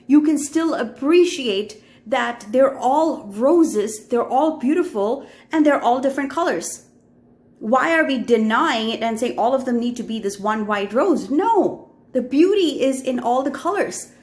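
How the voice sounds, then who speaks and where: Woman, Indian accent, mid-pitched, sincere reflective vlogger who pauses and restarts. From Middle East. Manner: earnest, heartfelt, with frequent fillers and restarts. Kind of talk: reflective personal vlog.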